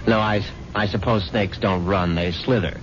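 Actor playing a wry low-voiced philandering husband, muffled radio. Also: male voice, steady tone, normal speed, close to an everyday voice. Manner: urbane, theatrical, stammering when uneasy. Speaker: radio drama actor, narrator-protagonist. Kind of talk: 1970s radio drama acting, first-person narration and dialogue. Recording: dull lo-fi 1970s radio recording.

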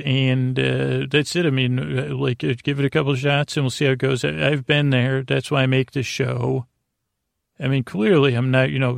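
Man, heavy gravelly creak, meandering bedtime monologue, halting and self-interrupting, soothing, close dry sound. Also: medium pitch, no echo. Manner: lulling, soothing, friendly. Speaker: podcast host. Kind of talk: rambling bedtime monologue to help listeners sleep.

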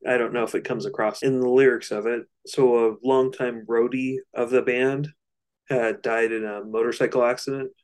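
A man's voice: mid-range voice, flat delivery, moderate pace. A man co-hosting a casual album discussion, explaining things in a loose, slightly hesitant way. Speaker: podcast co-host.

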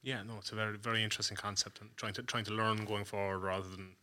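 A man's voice: fairly low pitch, level tone, quick pace, bright, forceful tone. A man with an Irish accent hosting a podcast, asking informal questions in a rambling, hesitant way. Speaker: podcast host. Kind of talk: informal interviewing.

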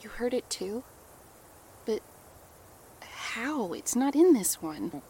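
An actress playing a wounded wife who has lost her memory, hesitant and halting and often hushed, in a horror audio drama. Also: fairly high pitch, big pitch swings, a little hiss or hum. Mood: wary. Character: wounded amnesiac wife, wary and urgent. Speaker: character.

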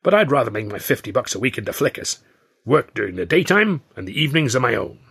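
A man voicing a rough, plain-spoken American vaudeville comedian with a raspy voice in an audiobook. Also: medium pitch, very animated, fast, fairly bright tone, exaggerated voice. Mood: gruff, blunt. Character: cross-eyed rough American vaudevillian turned film comedian, male.

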